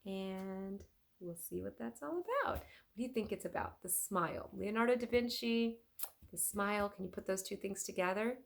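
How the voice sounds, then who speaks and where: A woman reading a children's story aloud as a teacher would, expressive and addressing young listeners. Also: medium pitch, moderate pace, vocal fry.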